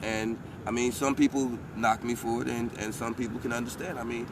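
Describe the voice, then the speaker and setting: A man's voice: medium pitch, level tone, a little fast. A man from Toledo, answering interview questions outdoors with many restarts and fillers. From Toledo, Ohio. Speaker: interview guest, rapper. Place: outdoors by downtown waterfront. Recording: distant mic with street noise.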